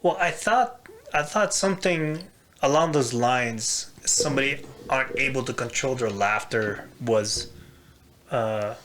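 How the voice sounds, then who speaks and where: A man's voice: medium pitch, unhurried, a bit bright, slight background noise. A man with a Filipino accent co-hosting a podcast, chatting casually with lots of fillers and restarts. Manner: hesitant, many fillers and repeats. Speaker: podcast co-host. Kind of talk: casual chat.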